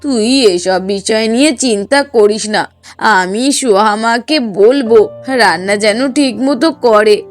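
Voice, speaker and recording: A woman's voice: a little high, a little slow, soft background music, natural voice. A woman voicing a kindly, patient older mother in a cartoon, soothing and reasoning. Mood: placating. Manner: soothing, reasoning, mildly exasperated. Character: kindly older mother, patient, gently doubting, protective of daughter-in-law. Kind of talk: Bengali cartoon dialogue.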